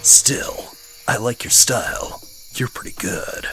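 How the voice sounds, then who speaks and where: Man doing a breathy, sardonic American soldier hero. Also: medium pitch, takes it slowly, bright, forceful tone, slight background noise. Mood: dry taunting. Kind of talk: comedic parody dub.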